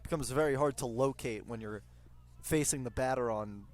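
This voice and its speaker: A man's voice: medium pitch, moderate pace, a little mellow. A man working as a baseball color commentator, explaining and analyzing in a conversational way.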